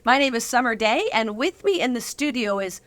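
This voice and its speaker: A woman hosting a studio interview, bubbly and affirming, quick to laugh. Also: fairly high pitch, expressive, fairly bright tone, dry recording. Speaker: host.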